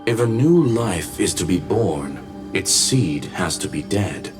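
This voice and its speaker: A man's voice: low-pitched, very animated, slow, exaggerated voice. An actor playing a grave male sage in an English game dub, speaking solemnly over music.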